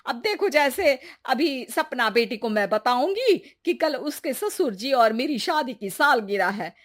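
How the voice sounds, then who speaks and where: A woman playing a nagging, dramatic middle-aged Indian mother-in-law in a family story cartoon, complaining and wheedling theatrically. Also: fairly high pitch.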